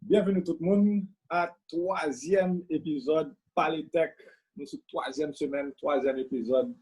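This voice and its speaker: A man hosting a live webinar, introducing the guest in a halting way and slipping into English phrases. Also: a little high, darkish tone, breathy edge, poor audio. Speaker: host.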